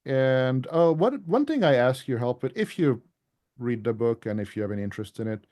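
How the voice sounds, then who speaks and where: A man's voice: mid-range voice, expressive, a little fast, dark tone. A man giving an informal presentation to a club as an author, talking in a conversational, rambling way with asides.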